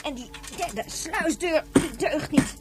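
An actress playing an irritable, blunt vehicle mechanic in a science-fiction radio drama. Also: female voice, high voice, expressive, slow pace, very noisy. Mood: grumbling, dismissive. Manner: grumbling, dismissive, colloquial. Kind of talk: Dutch radio drama acting. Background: loud music.

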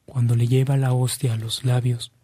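An actor playing a solemn, restrained storyteller, narrating a radio drama in a measured way. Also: male voice, medium pitch, level tone, warm tone, breathy edge, no room sound, lightly acted. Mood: solemn, hushed.

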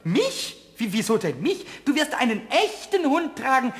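A man playing an impish, childlike little male kobold in a children's radio play, squeaky and stammering with excitement. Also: moderate pace, long reverb tail. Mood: cheeky, excited.

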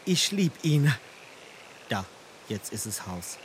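An actor playing an awkward, hot-headed young suitor in a dialect stage comedy, halting and overwrought, with a Darmstadt Hessian accent. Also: male voice, medium pitch, big pitch swings, a little hiss or hum. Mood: bashful. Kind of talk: theatrical dialect comedy acting.

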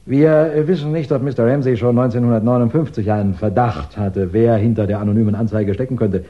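Ein Mann spielt in einem Krimi-Hörspiel der sechziger Jahre einen scharfsinnigen Ermittler, der bedächtig, höflich und förmlich bohrend einen Mordfall darlegt. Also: male voice, mid-range voice, fairly even intonation, natural voice. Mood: methodical, grave. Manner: deliberate, courteous, probing, formal. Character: shrewd male investigator methodically laying out a murder case. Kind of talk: 1960s German radio crime drama acting. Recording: dull 1960s radio recording.

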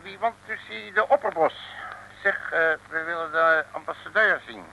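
An actor playing a blustering, streetwise detective with a Dutch accent in an old comic radio serial, exasperated and full of slangy interjections. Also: male voice, fairly high pitch, normal speed, gravelly, pushed and bright. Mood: pushy, persuasive. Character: blustering, streetwise Dutch male private detective, excitable and indignant. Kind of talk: 1950s-60s comic radio serial acting. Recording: noisy vintage radio recording.

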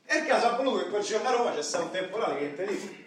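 Actor as a high-voiced brash Roman borgata guy, echoey. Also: expressive, racing, a bit bright. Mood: storytelling, wry. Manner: colloquial, emphatic, punctuated with rhetorical tags. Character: brash young Roman borgata bodybuilder and ex-dealer, boastful, confessional. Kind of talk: theatrical monologue in street slang. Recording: echoey hall, distant mic, audience noise.

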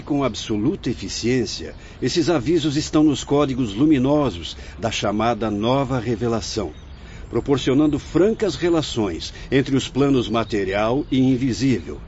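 A man's voice: medium pitch, a little mellow, natural voice. Actor as solemn, fatherly Brazilian spirit mentor. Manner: measured, preaching, formal oratory. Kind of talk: radio drama acting, solemn didactic. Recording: dull lo-fi radio recording, background noise.

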